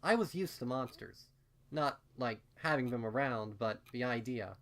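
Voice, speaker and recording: A man's voice: medium pitch, moderate pace, a bit bright. A man reading fan fiction aloud as a first-person story narration.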